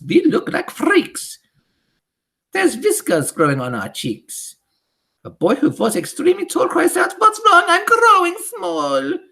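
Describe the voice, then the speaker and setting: A woman voicing a gloating, disgusting old Grand High Witch in a storybook, chanting theatrically in broken grammar. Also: vocal fry, full character voice. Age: older. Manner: theatrical, chanting, ungrammatical speech. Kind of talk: theatrical storybook character reading.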